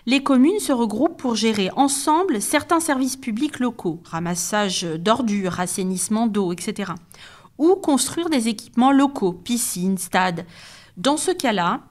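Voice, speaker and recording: High-voiced woman explaining methodically. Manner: didactic, methodical, signposting each point. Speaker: expert explainer on a podcast. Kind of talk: educational podcast explanation, prepared and structured.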